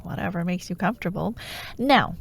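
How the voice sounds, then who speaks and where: A woman playing a sardonic female fantasy villain who haunts dreams, in a breathy, often whispered ASMR roleplay with a wry, taunting manner. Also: on the low side. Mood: coaxing. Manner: wry, taunting, theatrical, unhurried.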